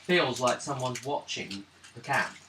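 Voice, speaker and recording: A man acting as game master, improvising the narration in a halting, casual way, with swearing and throat clearing. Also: medium pitch. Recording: roomy, distant mic, faint noise.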